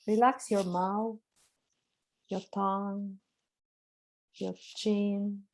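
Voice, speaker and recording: A woman speaking as a webinar guest, softly and slowly leading a guided relaxation over a video call. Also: medium pitch, rough recording. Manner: calm, soft-spoken, slow guiding. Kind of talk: slow guided relaxation instructions.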